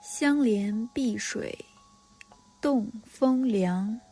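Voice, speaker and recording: Female poetry reciter; breathy, extremely slow, deliberate phrasing, faint music behind. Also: a little high, dark tone. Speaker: poem reciter.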